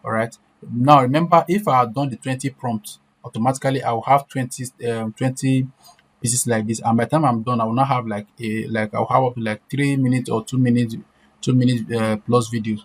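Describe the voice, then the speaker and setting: A man's voice: medium pitch, steady tone, a little fast. A man presenting an informal step-by-step screen-share tutorial, repeating himself and often checking in with short questions. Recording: clear background noise.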